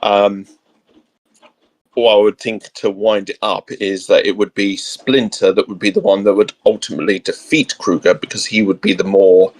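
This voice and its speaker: Slightly low-voiced man, meandering chat. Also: steady tone, normal speed. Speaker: podcaster guest co-host. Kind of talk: casual chat, explaining ideas off the cuff.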